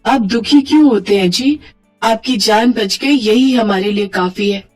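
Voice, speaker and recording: A woman's voice: on the high side, unhurried, darkish tone, faint music behind. A woman voicing a devoted, reassuring wife and mother in a moral storybook tale. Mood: consoling.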